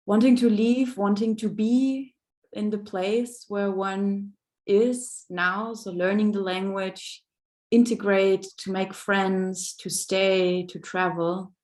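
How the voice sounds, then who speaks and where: Slow, hesitant woman from Germany. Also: mid-range voice. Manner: soft-spoken, hesitant, searching for words. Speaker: seminar panelist. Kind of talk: personal reflection in an online seminar. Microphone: video call microphone.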